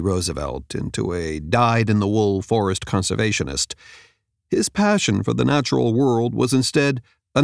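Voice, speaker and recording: A man reads a nonfiction audiobook in a measured, clearly enunciated way, close to the microphone. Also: fairly low pitch, no room sound. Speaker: audiobook narrator. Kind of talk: scripted nonfiction audiobook narration. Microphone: close studio microphone.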